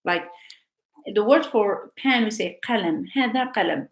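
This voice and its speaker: A woman's voice: mid-range voice, lively intonation, normal speed. Woman teaching live, lots of ums and restarts, roomy echo. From North Africa. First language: Arabic. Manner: hesitant, many fillers and restarts. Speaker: Arabic teacher. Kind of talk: casual live talk.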